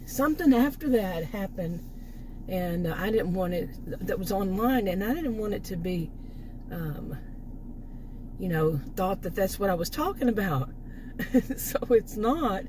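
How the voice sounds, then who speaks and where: A middle-aged woman, hoarse from losing her voice, talking in a rambling, unscripted way with many restarts and asides. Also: medium pitch, expressive, a little slow, darkish tone, slight background noise. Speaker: vlogger. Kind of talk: casual unscripted monologue.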